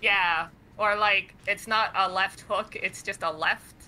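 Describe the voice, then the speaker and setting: A woman's voice: mid-range voice, fairly even intonation, slow pace, sharp tone, a little hiss or hum, low-quality recording. A woman from Canada, co-hosting a podcast in casual chat, talking freely and laughing often. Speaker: podcast co-host.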